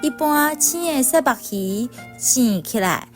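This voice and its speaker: A woman with a Taiwanese accent reads a personal story aloud in a high voice. She takes her time over each phrase, in the manner of scripted storytelling. Soft instrumental music plays behind her. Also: animated, unhurried. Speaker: reader of a personal essay.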